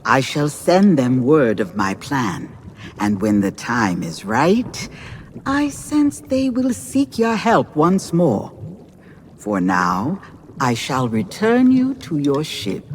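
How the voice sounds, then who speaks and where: An actress playing a calm, knowing mystical seer in a video game, speaking in a measured, portentous and formal way. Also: female voice, low-pitched, a little hiss or hum, full character voice. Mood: solemn reassurance. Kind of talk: video game character acting.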